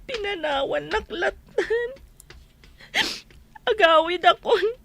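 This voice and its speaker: A woman's voice: extremely high pitch, expressive, slow, vocal fry, a bit bright, slight background noise, full character voice. An actress playing a long-suffering, plaintive and tearful wife and mother in an Ilocano radio drama, with an Ilocano accent. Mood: tearful, reproachful.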